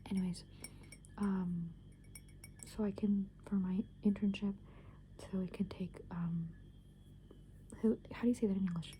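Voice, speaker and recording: Whispering young woman, very mellow. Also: mid-range voice, fairly even intonation, unhurried, long pauses, a little hiss or hum. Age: young adult. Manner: soft unvoiced whisper, rambling with many fillers. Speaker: ASMR creator. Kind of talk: whispered ASMR soft rambling.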